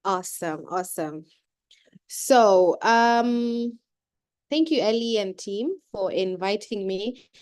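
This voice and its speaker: A young woman with a cold speaks over a video call, her voice at an ordinary middle pitch. She talks in a loose, chatty way, full of fillers and restarts, as she gives her keynote. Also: takes it slowly, a little mellow. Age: young adult. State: has a cold. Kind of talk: casual speech on a video call.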